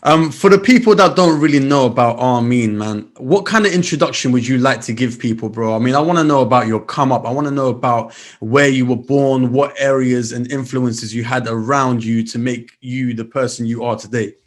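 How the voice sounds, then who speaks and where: A man with a London accent hosting a hip hop radio show, energetic and friendly, often calling his guest "my brother". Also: medium pitch, brisk, hardly any pauses. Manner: hype, calls guest "my brother" and "my guy".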